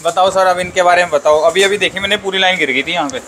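A man chats on camera, his voice pitched a little high. He teases and jokes in easy banter. Clear background noise from the warehouse sits under his words. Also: quick pace. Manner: teasing, joking banter. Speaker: vlogger host. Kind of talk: casual on-camera chat.

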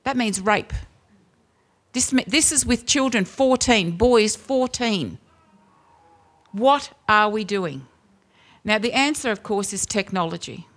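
An Australian woman in her fifties addresses a rally from a lectern, speaking loudly and forcefully into a stand microphone. Her voice booms and sits in a middle pitch range, and she presses her points with repeated rhetorical questions. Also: animated, slow pace. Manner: emphatic, loud and booming, with rhetorical questions. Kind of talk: impassioned public speech. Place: indoor venue with brick wall.

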